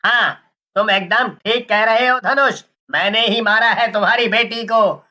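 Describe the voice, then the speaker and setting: A man playing a gloating, mocking snake-charmer villain in a moral-story cartoon, with taunting, drawn-out delivery. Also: sits high, fairly even intonation, pushed and bright, full character voice.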